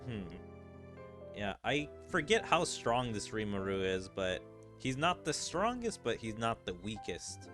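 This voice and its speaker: A man's voice: on the low side, sing-song, measured pace. Casual male gamer over game music. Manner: musing, thinking aloud. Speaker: gaming video creator. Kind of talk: casual let's-play commentary.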